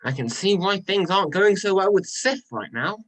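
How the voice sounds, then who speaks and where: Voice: medium pitch, expressive, normal speed, natural voice. An amateur actor playing a mocking male trickster god with dry sarcasm in a myth play reading.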